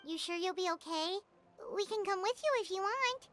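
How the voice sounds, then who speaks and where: An extremely high, childlike voice for a little floating video game sidekick. She is chirpy and earnest, with music clearly playing behind her. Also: animated, moderate pace, a bit bright. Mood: concerned.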